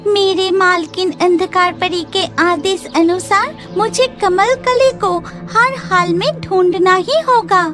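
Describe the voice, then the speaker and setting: A woman's voice: extremely high pitch, steady tone, slow. A woman voicing a dainty, obedient young blue fairy in a cartoon. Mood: dutiful. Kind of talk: cartoon character acting. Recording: loud music behind the voice.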